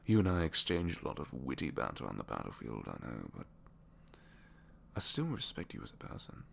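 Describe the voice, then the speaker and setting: A man playing a captured supervillain in an intimate ASMR roleplay, a gentle, bookish dreamer who speaks softly and hesitantly, often sinking toward a whisper. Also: a bass voice, huge pitch swings, brisk, creaky, slight background noise, voice pushed down, exaggerated voice. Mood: sincere, fond. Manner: hesitant, soft-spoken, rambling, often dropping to whispers.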